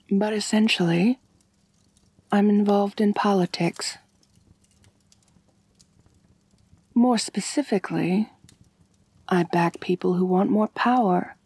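A woman playing a refined, kind but possessive vampire mistress in a soft, intimate ASMR roleplay, gentle and doting, often near whispering. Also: lowish voice, dry recording, heavily acted. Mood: composed, confiding. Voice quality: breathy.

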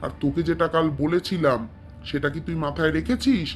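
A man's voice: on the high side, lively intonation, fairly quick, soft background music, close to an everyday voice. Man playing a busy, fussing cartoon mother. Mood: uneasy. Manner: homely, explanatory, repetitive.

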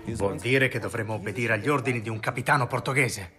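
A man's voice: medium pitch, quick pace, bright, forceful tone, soft background music, natural voice. An actor playing a proud, resentful Spanish nobleman captain who speaks in an indignant, challenging way in a dubbed docudrama.

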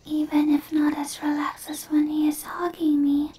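Woman acting a very high, whispery shy dryad. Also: little pitch movement, slight background noise, lightly acted. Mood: shy, apologetic. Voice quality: soft, breathy whisper. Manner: hesitant, stammering, apologetic, slow. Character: shy, gentle dryad monster woman bound to a tree. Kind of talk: ASMR roleplay.

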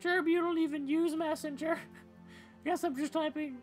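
High-voiced woman reading game dialogue aloud. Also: fairly even intonation, moderate pace, soft background music. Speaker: game streamer voicing characters.

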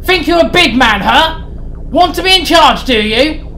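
Woman doing a raging male train engine, high and yelling, out for revenge. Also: lively intonation, measured pace, slight background noise. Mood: enraged. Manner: screaming threats. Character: furious male engine, explosive, vengeful.

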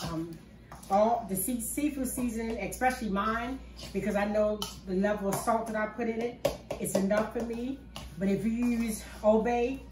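An older woman hosting a home cooking video, explaining each step in a homey, easygoing way from her kitchen. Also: on the high side. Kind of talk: casual cooking demonstration. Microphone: far camera on the counter. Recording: roomy kitchen sound from a distance.

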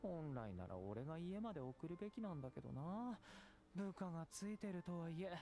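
Young anime game warrior, self-assured, breezy, friendly, lively delivery. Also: male voice, on the high side, racing. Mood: breezy, confident. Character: confident, friendly young male warrior, doting older brother. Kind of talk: anime-style video game acting.

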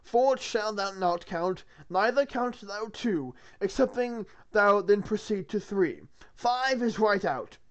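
A reader voicing a pompous male monk who reads scripture aloud in a slow, solemn, sing-song intonation. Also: medium pitch, animated, highs cut off, pitched above the performer's own voice.

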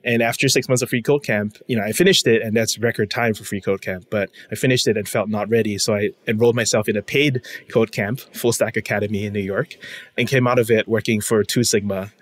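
Man in his 30s, from singapore, reflective interview guest, lowish voice. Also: fairly even intonation, fairly quick. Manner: reflective, laughs between points. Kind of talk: casual interview chat.

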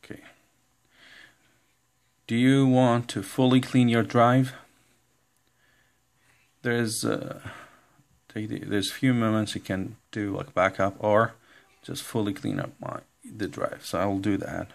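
A man giving an informal step-by-step computer tutorial in a halting way, reading out text as he goes. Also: on the low side, steady tone, gravelly. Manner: halting, instructional, reads out on-screen text. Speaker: tutorial presenter. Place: indoor room, filming a laptop screen.

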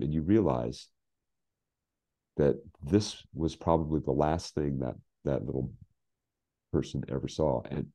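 American male, 60s, very low dark voice, halting emphatic storyteller, casual conversation. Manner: halting, emphatic, repeats phrases. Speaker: guest, scriptwriter. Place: home office, video call.

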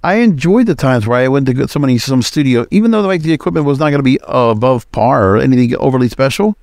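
A man's voice: medium pitch, a little mellow, no room sound, clean studio sound. A man hosting a solo podcast, talking casually in a rambling, conversational way. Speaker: podcast host.

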